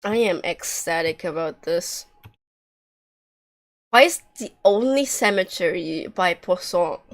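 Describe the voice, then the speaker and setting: Slow-paced woman streaming a game. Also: fairly low pitch, animated. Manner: joking, sarcastic, swearing. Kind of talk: casual livestream commentary on a game.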